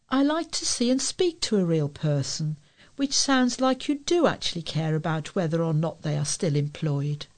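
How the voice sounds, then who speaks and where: A woman's voice: fairly high pitch, a little mellow. A woman with a British accent reading letters and articles aloud as a volunteer newsreader. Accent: British English.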